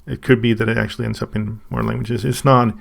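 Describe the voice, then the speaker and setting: Man, Swedish native speaker, very gravelly voice, halting, casual unboxing talk. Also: medium pitch, little pitch movement. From Sweden. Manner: halting, thinking aloud with many restarts. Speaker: channel host.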